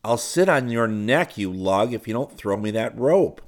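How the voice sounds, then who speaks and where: A brash and warmhearted young male hero from a pulp adventure audiobook, as a man narrator plays him. He talks in slangy, emphatic bursts with a low-pitched voice. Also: a little slow. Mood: mock threatening. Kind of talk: audiobook character dialogue.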